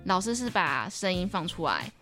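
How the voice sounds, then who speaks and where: A woman's voice: on the low side, sing-song, moderate pace, sharp tone, faint music behind. A young woman with a Taiwanese Mandarin accent chatting casually as a podcast co-host. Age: young adult. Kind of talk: casual chat.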